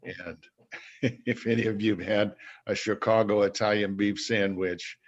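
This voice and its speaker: An older man with grandchildren giving a relaxed check-in to his Bible study group, with many fillers. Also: on the low side, measured pace, lo-fi sound. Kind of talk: casual check-in.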